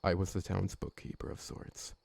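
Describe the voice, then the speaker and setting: A man acting a polite, loyal vampire servant in an ASMR roleplay, speaking formally and deferentially with a gravelly, husky voice. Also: moderate pace, a little mellow, no echo, lightly acted. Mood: earnest, reminiscing. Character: polite, loyal old vampire servant, fussy former bookkeeper, male.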